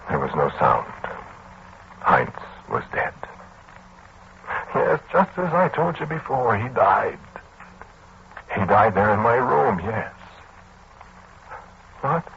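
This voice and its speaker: An actor playing a haunted, earnest man who recounts a dark tale in old-time radio style, with dramatic, broken phrasing. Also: fairly low pitch, very animated, slow, vocal fry, pauses often, full character voice. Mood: grave, shaken. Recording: muffled, hissy old radio recording.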